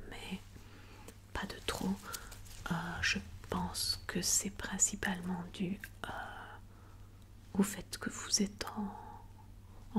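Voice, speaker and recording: Female ASMR doctor: soft breathy whisper, little pitch movement, slow, reassuring bedside delivery. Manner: slow, gentle, careful, reassuring. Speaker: ASMR performer playing a doctor. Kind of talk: ASMR medical roleplay.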